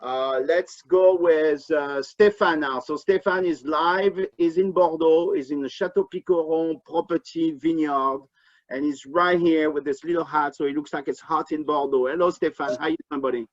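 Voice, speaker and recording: A man with a French accent hosting a live online wine tasting, upbeat with quick thanks and questions. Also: a little high, fairly even intonation. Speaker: host. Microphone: video call. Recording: dull lo-fi video call sound.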